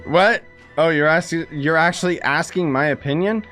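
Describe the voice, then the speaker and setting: A man's voice: on the high side, normal speed, a bit bright, faint music behind. A young man giving casual, slangy live commentary as he streams a game. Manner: slangy, reactive, chatty.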